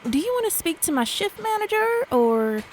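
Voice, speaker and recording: An actress playing a casual, unfazed young fast-food server in an audio drama, politely serving a customer. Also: female voice, very high-pitched, very animated, a little hiss or hum. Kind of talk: naturalistic audio drama acting.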